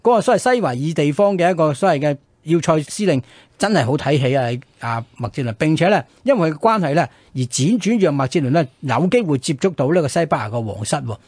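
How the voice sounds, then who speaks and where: A man's voice: on the high side, lively intonation, quick pace, darkish tone, breathy edge, dry recording. A man with a Hong Kong Cantonese accent hosting a radio history talk in a conversational, explanatory way, often checking in with rhetorical questions. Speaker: radio programme host. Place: radio studio.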